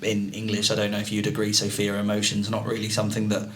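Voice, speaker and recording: A man's voice: fairly low pitch, quick pace. A man hosting a casual school panel podcast, posing questions and chatting animatedly. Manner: prompting questions, animated about swearing. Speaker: podcast host.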